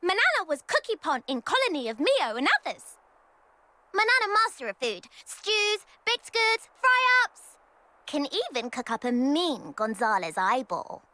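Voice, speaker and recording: Squeaky, chirpy little creature cook. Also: female voice, wildly swooping pitch, a little slow, sharp tone, no echo, heavily acted. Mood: cheerful, proud. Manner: speaks of self in third person. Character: small chirpy Nopon creature, proud cook, third-person talker. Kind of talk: video game voice acting.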